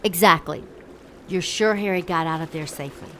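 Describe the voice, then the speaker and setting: Actress voicing a flirty scheming demon woman, a little low, audio drama. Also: a little fast, bright, forceful tone, a little hiss or hum. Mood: plotting. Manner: sly, flirtatious. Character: seductive scheming female demon.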